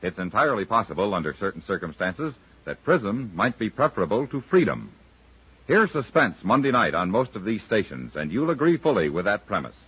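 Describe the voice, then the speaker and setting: Husky, animated male radio announcer. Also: lowish voice, a little fast. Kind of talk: 1950s radio announcing, scripted promo. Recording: muffled old radio recording.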